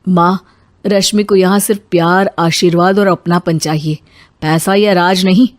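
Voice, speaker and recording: A woman's voice: on the low side, fairly even intonation, moderate pace, close to an everyday voice. A woman voicing an earnest young husband who reasons firmly and pleads in a Hindi family cartoon. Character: earnest young married man defending his wife.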